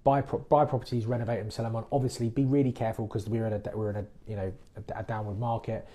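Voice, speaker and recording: A man's voice: on the low side, level tone, fast talker, mellow. A man hosting a casual podcast, answering listeners' mortgage questions in a chatty, plain-spoken way. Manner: chatty, explains things plainly. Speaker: mortgage broker and podcast host. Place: based in the Midlands.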